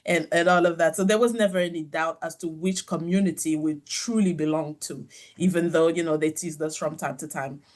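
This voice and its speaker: A woman raised in France, a native French speaker, talks about her life as an interview guest. She tells her story in a measured, reflective way and laughs softly now and then. She is speaking over a video call from her office. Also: low voice, steady tone. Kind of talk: conversational interview.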